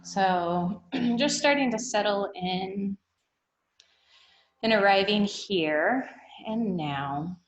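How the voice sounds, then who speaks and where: A woman guiding a meditation, speaking in an unhurried, gently instructive way with long pauses. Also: mid-range voice. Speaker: meditation guide. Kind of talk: guided meditation, body scan. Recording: echoey room.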